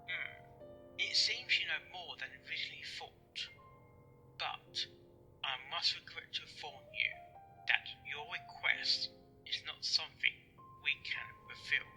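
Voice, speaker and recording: A man playing a formal pony official in a fan fiction audio drama, measured and pausing as he speaks. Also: a little high, sharp tone, faint music behind, low-quality recording. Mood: formal, regretful. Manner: measured, formal, hesitant pauses.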